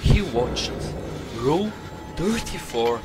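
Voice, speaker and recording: A man's voice: fairly high pitch, sing-song, takes it slowly, music in the background. A man voicing a sleazy mad doctor monster in an amateur horror game dub, rambling with mock-polite menace. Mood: chatty.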